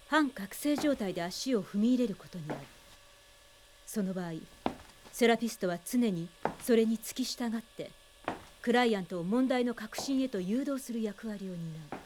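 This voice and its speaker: Actress as measured clinical woman therapist, noisy recording. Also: mid-range voice, lightly acted. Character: clinical woman therapist calmly explaining a hypnotherapy case. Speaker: voice actor. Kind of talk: scripted radio drama narration.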